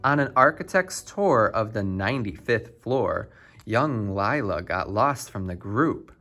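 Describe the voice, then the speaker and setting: A man narrates a rhyming picture book for children, expressive and theatrical. His pitch swings widely and he takes his time, with faint music underneath. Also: unhurried. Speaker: children's storybook reader.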